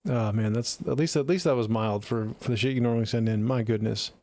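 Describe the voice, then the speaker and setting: A man's voice: mid-range voice, a little fast, warm tone, no room sound. A man hosting a music review livestream in casual, slangy chat with frequent swearing.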